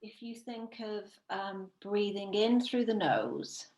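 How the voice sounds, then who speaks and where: A woman leading a guided visualisation as a meditation teacher, soothing and unhurried, with long pauses between phrases. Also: mid-range voice, lively intonation, darkish tone. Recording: roomy, echoing sound.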